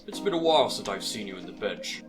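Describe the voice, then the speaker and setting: A fussy old judge, played by a man in a fan courtroom drama sounds polite and slightly flustered. His voice sits fairly low. Music plays clearly underneath. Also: sing-song, fast. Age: older. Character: aged, fussy male judge, easily swayed.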